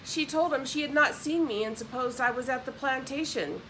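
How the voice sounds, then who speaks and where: A woman's voice: high-pitched, moderate pace, fairly bright tone, a little hiss or hum, low-quality recording. A woman narrating an audiobook expressively, with casual explanatory asides.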